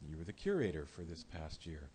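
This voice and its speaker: A man's voice: rumbling low, big pitch swings, a little fast, dark tone, slightly rough. A man hosting an open mic, introducing the featured poet with a bio and brief hesitations. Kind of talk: introducing a guest.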